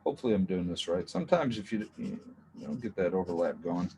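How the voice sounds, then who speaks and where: A man teaching a drawing class in a relaxed, chatty way, talking through each step and adding jokey asides. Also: low-pitched, fast, a little hiss or hum. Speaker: art teacher. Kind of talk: casual drawing lesson.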